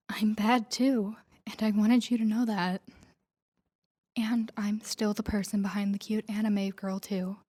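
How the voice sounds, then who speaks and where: A woman playing a guarded, tender mafia boss in an intimate audio roleplay, speaking softly and breathily to a lover in a measured, confiding way. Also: medium pitch, close to an everyday voice. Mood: solemn, tender. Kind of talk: intimate scripted audio roleplay addressed to the listener.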